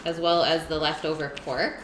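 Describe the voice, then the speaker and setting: Woman, cooking stream host, low voice, echoey kitchen with background noise. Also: flat delivery, normal speed. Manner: chatty, reads viewer messages aloud and answers them. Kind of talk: casual cooking stream chat. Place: home kitchen.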